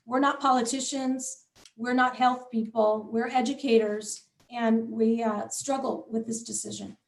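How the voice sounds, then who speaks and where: A woman leading a school town hall, answering questions in a measured way with many pauses and fillers, her voice getting worn. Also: on the high side, steady tone, recorded from a distance. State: losing her voice, clearing throat.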